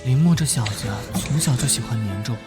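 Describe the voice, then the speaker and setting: A man acting as an earnest, kindly cartoon detective. His voice sits at a middle pitch and sounds caring and thoughtful, with music clearly behind it. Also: fairly quick, natural voice. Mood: musing, caring. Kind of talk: animated drama acting with inner monologue.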